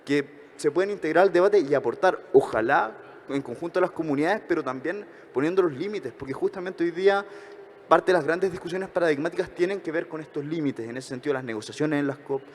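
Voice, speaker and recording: A man with a Chilean accent giving reflections at a conference workshop, talking fast with frequent hesitations and self-corrections. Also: on the high side, big-room sound, a little hiss or hum. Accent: Chilean Spanish. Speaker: conference speaker.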